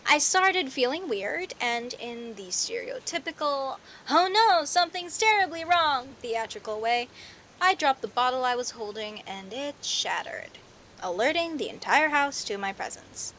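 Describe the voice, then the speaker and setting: A woman playing a friendly, teasing young werecat neighbor in an audio roleplay, chatting playfully to the listener with hesitant pauses and theatrical emphasis. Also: sits high, animated, pushed and bright, slight background noise, heavily acted. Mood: amused storytelling. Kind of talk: audio roleplay, casual one-sided conversation with the listener.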